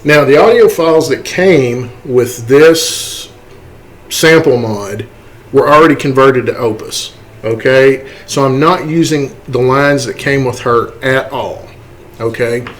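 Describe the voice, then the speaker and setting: A man giving an unscripted software tutorial, halting and step-by-step, often checking in with a questioning okay. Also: mid-range voice. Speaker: tutorial host.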